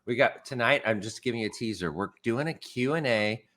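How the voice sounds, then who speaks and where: A middle-aged man co-hosting a casual podcast, a bit hoarse and tired this morning, joking and laughing at himself. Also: mid-range voice, a little fast, fairly bright tone. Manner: joking, self-deprecating, lots of laughs. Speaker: podcast co-host.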